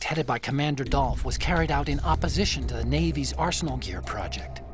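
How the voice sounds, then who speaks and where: An actor playing an earnest, troubled scientist ally, hesitant yet explanatory, in a video game cutscene. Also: male voice, fairly high pitch, lightly acted.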